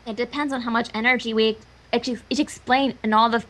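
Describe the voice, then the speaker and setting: A woman reading character lines aloud amid casual banter, lively and sometimes tripping over words. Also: fairly high pitch, brisk. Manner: animated, stumbles over words. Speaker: fan fiction co-reader.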